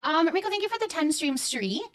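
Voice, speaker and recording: A woman's voice: very high-pitched, very animated, brisk, slight background noise. A woman livestreamer chatting casually with her viewers, effusive and affectionate, often repeating words and short phrases as she thanks them. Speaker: live streamer hosting a chat.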